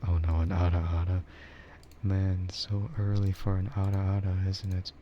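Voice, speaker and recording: A man chatting casually and soft-spoken with his livestream viewers, rambling in a relaxed way. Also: low-pitched, moderate pace, dull audio, slight background noise. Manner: relaxed, soft-spoken ASMR yapping, often chuckling. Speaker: streamer, VTuber host.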